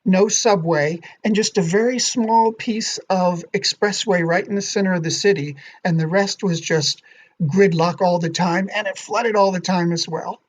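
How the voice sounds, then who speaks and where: A man speaks as an author being interviewed on a podcast. He explains at a steady, measured pace, choosing his words with thought. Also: high voice, poor audio. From not Thai. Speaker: author guest.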